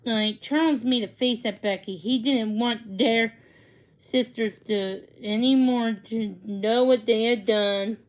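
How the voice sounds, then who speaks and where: A woman's voice: on the high side, takes it slowly, rough recording. A woman reading a children's storybook aloud, sometimes stumbling over words and correcting herself. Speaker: read-along reader.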